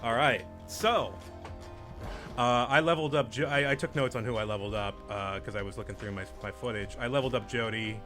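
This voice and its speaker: Brief: male let's play host, relaxed explainer, game music playing underneath. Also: mid-range voice, fairly quick. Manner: explains game mechanics patiently. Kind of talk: casual gameplay commentary.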